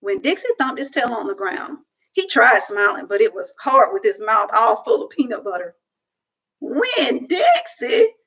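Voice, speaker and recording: A young woman reading a children's book aloud at home into a webcam, with slow, expressive storytelling. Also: medium pitch, breathy edge. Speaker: reader. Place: home room, indoors.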